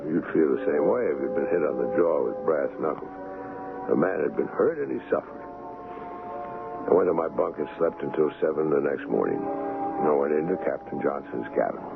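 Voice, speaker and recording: Deep-voiced, skeptical ship's doctor. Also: male voice, fairly quick, gravelly, loud music behind the voice, full character voice. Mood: reflective, skeptical. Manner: earnest, expressive. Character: skeptical, concerned young ship's doctor, recalling his voyage. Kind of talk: radio drama acting with first-person narration. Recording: noisy, phone-like old radio broadcast.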